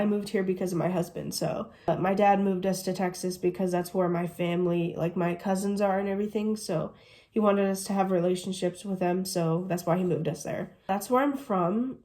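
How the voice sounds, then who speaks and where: A woman's voice: fairly low pitch, mellow. A woman in her twenties from the United States, chatting casually through a Q&A vlog and gushing as she goes. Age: 20s. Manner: chatty, gushing, says "literally" often. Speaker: vlogger.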